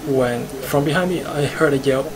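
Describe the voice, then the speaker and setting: A man reading visual novel narration aloud on a live stream, haltingly and with stumbles. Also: medium pitch, heavy background noise. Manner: halting, stumbling over the script.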